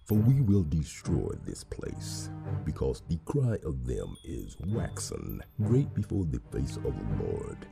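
An actor playing a solemn, brooding sci-fi agent in an audio drama, grave and deliberate, with a bass-boosted voice. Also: male voice, huge pitch swings, creaky, loud music behind the voice, heavily acted.

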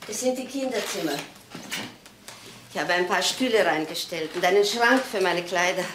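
An actress playing an older, estranged wife in a crime drama, polite, halting, wistful and resigned. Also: female voice, on the low side, noisy background, close to an everyday voice. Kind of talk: German crime drama acting.

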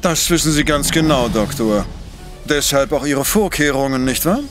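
An actor playing an arrogant, brilliant professor detective in an audio drama, speaking in a pompous, precise, lecturing way. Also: male voice, mid-range voice, normal speed, music in the background, natural voice. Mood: urgent, commanding. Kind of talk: German audio drama acting.

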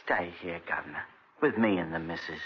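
A man's voice: sits low, fairly quick, close to an everyday voice. An actor playing a humble, kindly working man in a 1940s radio drama. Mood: kindly, concerned. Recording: dull, noisy 1940s radio recording.

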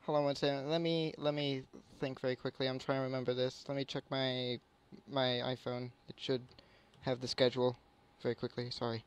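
A young man on a call, speaking in a halting, apologetic way as a customer. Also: medium pitch, little pitch movement, smooth. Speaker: prank caller. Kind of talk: hesitant phone conversation. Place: online voice call.

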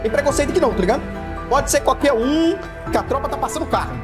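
Excitable, animated Brazilian man streaming games. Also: very high voice, quick pace, music in the background. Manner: excitable, repetitive, heavily profane slang. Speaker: gaming streamer. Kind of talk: excited live game-stream commentary. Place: indoor room, webcam streaming setup.

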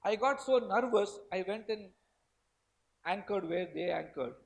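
A man's voice: high voice, measured pace, vocal fry. An Indian man voicing an old European sea captain who recalls his voyage with nervous wonder. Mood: awed. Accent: Indian English.

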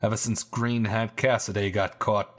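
An actor playing a genial Prohibition fixer making a persuasive pitch in an audio drama. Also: male voice, flat delivery, normal speed, lightly acted. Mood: amused, persuasive. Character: genial, wheeling-dealing male Prohibition fixer recruiting a bootlegger.

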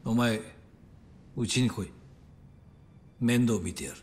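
An actor playing a composed middle-aged yakuza boss in a dramatic game scene, measured, grave and authoritative. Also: male voice, mid-range voice, a little mellow, lightly acted. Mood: stern, grave. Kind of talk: dramatic video game acting in Japanese. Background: music.

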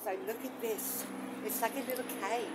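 A middle-aged woman chatting casually to a phone camera outdoors in a rambling, gently enthusiastic way, with exclamations. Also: on the high side, fairly even intonation, moderate pace. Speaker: vlogger, spiritual practitioner. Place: outdoors in bush and a shallow rock cave. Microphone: phone on selfie stick with attached microphone. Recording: noisy outdoor phone recording, traffic ambience.

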